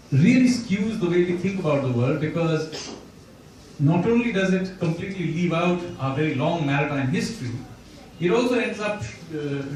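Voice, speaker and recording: A man, an author at a bookstore event, talks about his book and reads a passage from it, pausing often and restarting his sentences. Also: a little high, darkish tone. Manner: thoughtful, with pauses and restarts. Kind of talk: talk and reading from his book. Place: bookstore event room, indoors. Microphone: distant mic or none. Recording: very echoey room, voice from far off.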